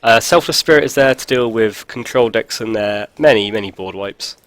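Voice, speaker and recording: A man's voice: lowish voice, moderate pace, a bit bright, dry recording. A man with a cold, sounding stuffy, talking casually over a card game with dry jokes and lots of fillers. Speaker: video host. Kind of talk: casual gameplay commentary.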